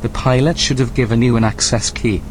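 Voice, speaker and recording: An actor playing a calm artificial intelligence guide in an audio drama, speaking in a measured, matter-of-fact, commanding way. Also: male voice, medium pitch, slight background noise, close to an everyday voice.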